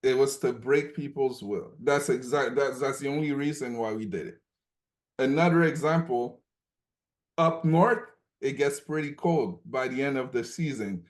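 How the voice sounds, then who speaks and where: A man's voice: mid-range voice, moderate pace. Emphatic man from Cameroon, repeating for emphasis. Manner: emphatic, repeats points, frequent "okay?" check-ins. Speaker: coaching call participant. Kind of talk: motivational group call sharing.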